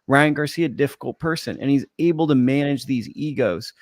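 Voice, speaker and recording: A man on a casual boxing talk show, relaxed and analytical, who reasons things out at length. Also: mid-range voice, moderate pace, no echo. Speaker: podcast panelist. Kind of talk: casual panel chat.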